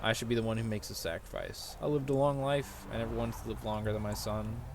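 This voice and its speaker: Male game streamer, loose rambling chat, swears freely, music clearly behind. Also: on the low side, fairly even intonation, fast.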